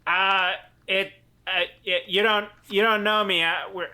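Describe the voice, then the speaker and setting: A man playing a tabletop game casually, improvising in a halting, self-correcting way. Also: high-pitched, flat delivery, sharp tone, smooth.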